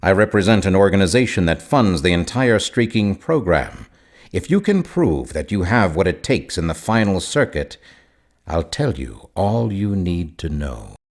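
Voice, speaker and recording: Deep-voiced imperious mystery man. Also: very animated, moderate pace, full character voice. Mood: formal, imperious. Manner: formal, pompous, mysterious. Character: Malthus, a secretive, imperious male representative of shadowy investors. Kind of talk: video game character dialogue.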